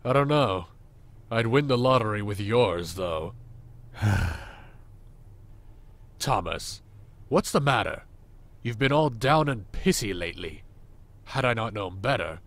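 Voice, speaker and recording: A man acting as a crude male boss in an audio drama. He speaks in a deadpan, sarcastic way at a middling male pitch, like someone worn down by his marriage. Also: measured pace, pauses often.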